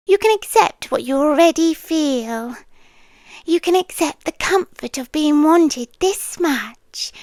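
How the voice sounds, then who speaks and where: A British woman playing an obsessive, possessive landlady girlfriend in an intimate ASMR roleplay, soft and breathy, often dropping into whispers, close to the mic. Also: sits high, dry recording. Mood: firm, possessive. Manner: slow, intimate, coaxing, possessive. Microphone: close, dry microphone.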